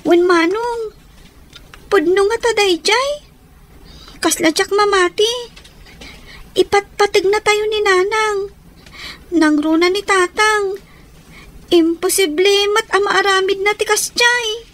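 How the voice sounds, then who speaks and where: An actress with an Ilocano accent playing an earnest, gentle woman in a family radio drama. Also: extremely high pitch, slow pace, long pauses, full character voice. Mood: concerned.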